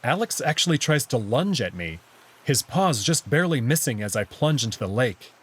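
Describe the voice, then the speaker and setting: A man with a husky voice narrating a first-person visual novel story expressively. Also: mid-range voice, sing-song, normal speed, slight background noise.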